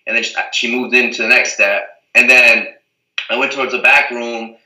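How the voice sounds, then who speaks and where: A man's voice: mid-range voice, little pitch movement, normal speed, bright, forceful tone, roomy sound, recorded from a distance, poor audio. A young man from the Azores, a reality TV guest, chatting casually and rambling with lots of "like" and "you know what I mean". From Portugal, the Azores. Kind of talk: casual interview chat.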